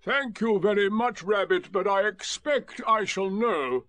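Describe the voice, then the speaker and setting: A man voicing a gloomy, sarcastic old male donkey who speaks in dry, drawn-out remarks. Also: high voice, fairly bright tone, no echo, voice pushed up. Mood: sardonic.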